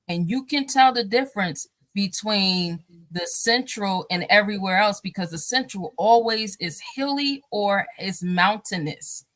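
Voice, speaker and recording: A woman's voice: mid-range voice, fairly bright tone, dry recording. A married woman, a guest on a talk show, speaking casually in a rambling way with many fillers and restarts, and often laughing as she talks. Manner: laughs often while talking.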